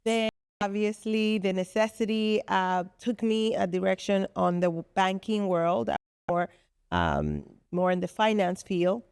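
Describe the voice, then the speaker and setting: A woman's voice: medium pitch, very animated, measured pace, vocal fry, darkish tone, clear, resonant tone, dry recording, studio quality. A woman from the Republic, a podcast guest explaining her business at length with earnest fillers. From Dominican Republic. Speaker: guest, co-founder of a business space. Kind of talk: podcast interview.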